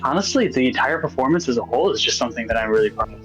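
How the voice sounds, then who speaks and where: A man's voice: mid-range voice, animated, very fast, fairly bright tone, dull audio, soft background music. A man chatting casually as an interviewed voice actor.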